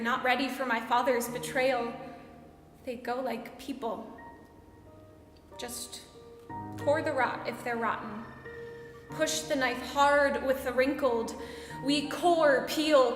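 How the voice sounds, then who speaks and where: A young woman performing a dramatic storytelling monologue on stage in deliberate, emphatic, clipped phrases. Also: high voice, a bit bright. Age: young adult. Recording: echoey hall with long reverb. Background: music.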